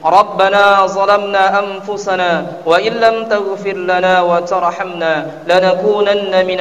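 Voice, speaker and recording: Man, high pitch, formal sermon oratory, mosque pulpit microphone, long hall reverb. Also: a bit bright. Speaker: preacher delivering Friday sermon. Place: tiled mosque interior, at the pulpit. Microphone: mounted microphone at the pulpit. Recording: echoey hall, distant amplified voice.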